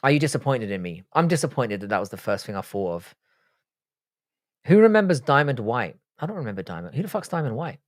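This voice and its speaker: A man's voice: medium pitch, very animated, fast talker, no room sound, studio quality. Profane man chatting on a livestream. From born in Ireland, grew up in the UK. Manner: profane, blunt, joking. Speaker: livestream host. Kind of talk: casual live-stream chat reading out viewer questions.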